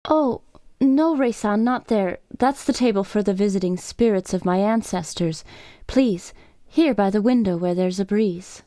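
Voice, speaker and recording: Woman acting a mellow, courteous Japanese innkeeper. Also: medium pitch, measured pace, no room sound, lightly acted. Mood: gently correcting. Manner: polite, attentive. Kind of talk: radio play acting.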